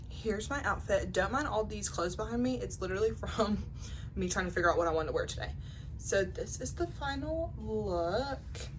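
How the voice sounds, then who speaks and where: A woman's voice: mid-range voice, a little hiss or hum. An eighteen-year-old woman, talking casually to the camera in a home vlog, full of "like" and "um" fillers. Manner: chatty, lots of "like" and "um" fillers. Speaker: vlogger. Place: at home in a bedroom. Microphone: phone or camera on a tripod.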